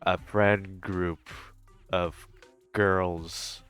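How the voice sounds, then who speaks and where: A man's voice: low voice, flat delivery, very slow, a bit bright, clean tone, faint music behind. A man giving casual, rambling, hesitant reaction commentary on a video game, swearing now and then. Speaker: gaming streamer reacting to a story quest.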